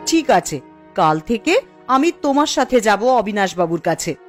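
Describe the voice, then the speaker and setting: A woman's voice: mid-range voice, very animated, normal speed, soft background music, natural voice. A woman voicing a discontented, ruthless village wife in a cartoon, dramatic and scheming. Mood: eager, obedient. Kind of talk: Bengali fairy-tale cartoon voice acting.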